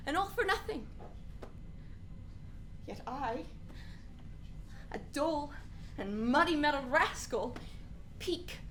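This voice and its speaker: A woman playing an anguished, brooding young prince in a Shakespearean radio play, speaking in halting, deliberate soliloquy. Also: sits high, a little hiss or hum. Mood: self-loathing, anguished.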